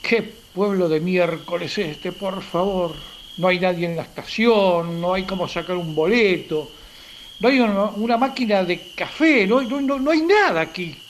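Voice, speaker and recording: A man's voice: high-pitched, normal speed, close to an everyday voice. A man playing a grumpy, impatient traveller in a radio play, curt and exasperated, often repeating himself. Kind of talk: amateur radio play acting. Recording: faint hiss with night crickets. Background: crickets, night ambience.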